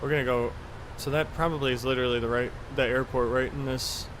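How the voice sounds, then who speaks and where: A man chats casually as he streams a flight simulator, rambling along in an offhand way with the odd mild swear. His voice sits at an average pitch, with a faint steady hum of airy cabin-like noise behind him. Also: fast talker. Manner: rambling, offhand, mildly profane. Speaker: flight sim live streamer. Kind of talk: casual chat while playing a flight simulator.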